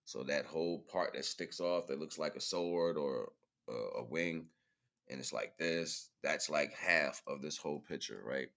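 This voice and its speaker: A man's voice: very deep voice, measured pace, gravelly, a bit bright. A man hosting a livestream, talking casually and informally through pictures, rambling and often checking in with the listener. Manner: informal, rambling, repeatedly checking in with "right?" and "you know what I'm saying". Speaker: livestream host.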